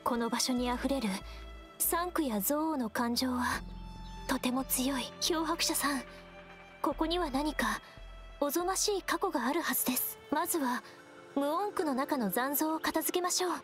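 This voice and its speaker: A woman voicing a gentle, earnest young female scout in an anime game, speaking politely and with measured solemnity. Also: high-pitched, faint music behind. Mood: grave. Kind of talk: Japanese anime game voice acting.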